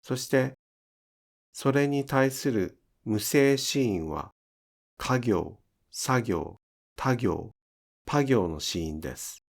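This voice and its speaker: A man's voice: mid-range voice, animated, slow, creaky. A man giving scripted instructional narration as a pronunciation teacher, enunciating carefully step by step.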